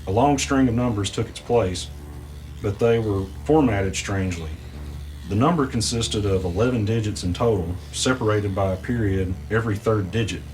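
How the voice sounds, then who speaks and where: A man's voice: on the low side, moderate pace, a little mellow, soft background music, natural voice, rough recording. A man playing a weary young gamer in an audio drama, telling and living a mystery in a plain-spoken, understated way. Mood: measured, suspenseful. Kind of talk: audio drama narration and dialogue.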